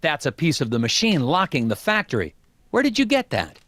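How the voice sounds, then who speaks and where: A man's voice: on the high side, wildly swooping pitch, brisk, fairly bright tone, exaggerated voice. An actor playing an earnest, puzzled male investigator in an adventure game, inquisitive and given to talking to himself. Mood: focused. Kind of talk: animated game dialogue and narration.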